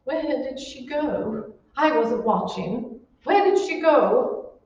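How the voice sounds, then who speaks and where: A woman playing an imperious, shrewish wife in an old-time radio horror play, with a shrill, scornful, commanding manner. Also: animated, slow pace, darkish tone, slight background noise, exaggerated voice. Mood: indignant, alarmed. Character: imperious, shrewish wealthy wife, bossy, panicked by a ghost. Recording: muffled, very echoey, distant stage recording.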